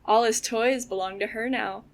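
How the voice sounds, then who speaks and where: A young woman acting as a storyteller in an audio drama, with a creaky voice. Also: fairly high pitch, fairly even intonation, normal speed. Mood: measured, eerie storytelling. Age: young adult. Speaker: student audio drama actor.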